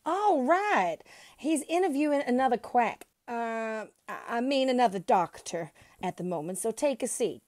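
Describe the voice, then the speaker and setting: Blunt old receptionist for a radio-style play, female actor, fairly high voice, offhand and wry, no room echo. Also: expressive, normal speed, darkish tone, clean studio sound, close to an everyday voice. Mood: dry, offhand. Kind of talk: audio play acting.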